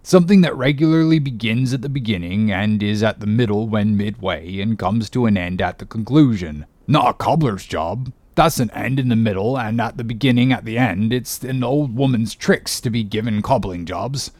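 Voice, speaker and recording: A man's voice: on the low side, expressive, normal speed, a little mellow, no echo. A man voicing a fussy, grumbling older ship's carpenter who mutters complaints to himself in an audiobook story.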